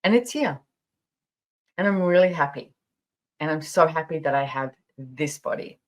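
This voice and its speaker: A woman's voice: deep voice, lively intonation, normal speed. A woman in her fifties hosting a podcast, telling personal stories casually in a chatty, emphatic way, repeating phrases for stress. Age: 50s. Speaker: podcast host. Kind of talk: casual personal storytelling monologue.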